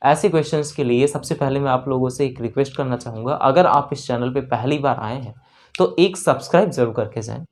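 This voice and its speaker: Male exam-prep maths tutor, Indian accent, mid-pitched voice, step-by-step explaining with frequent repetition and check-ins. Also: fairly quick. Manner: repeats and rephrases key phrases, checks in with learners. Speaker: maths teacher for exam preparation. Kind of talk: lesson explaining worked problems step by step.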